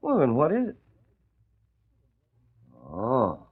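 An actor in an old radio drama playing a nosy, wisecracking young newspaper reporter with a breezy way of talking. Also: male voice, medium pitch, wildly swooping pitch, slow, slightly rough, exaggerated voice. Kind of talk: 1940s radio acting. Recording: tinny old radio recording.

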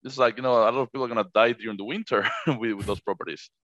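A man whose first language is Spanish, chatting on a podcast about managing rentals, halting with frequent restarts. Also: medium pitch, a bit bright, no room sound, dull audio. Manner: halting, many restarts, laughs easily. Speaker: short-term rental manager. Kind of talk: casual podcast chat.

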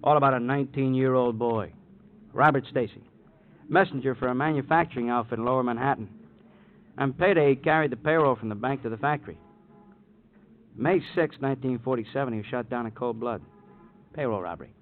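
Man playing good-natured cop sidekick, mid-pitched, folksy rambling talk, 1950s radio drama, thin tinny sound. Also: fairly quick, natural voice. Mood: matter-of-fact. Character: chatty, good-natured middle-aged married police partner. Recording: tinny old radio with faint noise.

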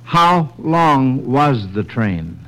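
A man's voice: medium pitch, big pitch swings, very slow, a bit bright, poor audio. An American man hosting a quiz show in the scripted style of old radio, reading out questions on a vintage 1940s broadcast.

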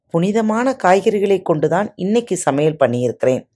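A woman's voice: on the low side, big pitch swings, moderate pace, warm tone, voice pushed up. A woman voicing a simple, humble male servant who answers his master politely, in a storybook reading.

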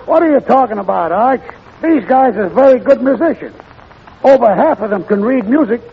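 An actor playing a brash, excitable, defensive male bandleader, loud and hustling in 1940s radio comedy style. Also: very high voice, normal speed, vocal fry, slightly rough, heavily acted. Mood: indignant. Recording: dull old radio recording, crowd, faint noise.

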